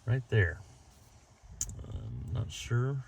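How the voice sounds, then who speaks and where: A man's voice: mid-range voice, big pitch swings, very slow, gravelly, slight background noise. A man giving a casual, unscripted how-to as a DIY vlogger, thinking aloud as he goes. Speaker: DIY vlogger installing a car radio.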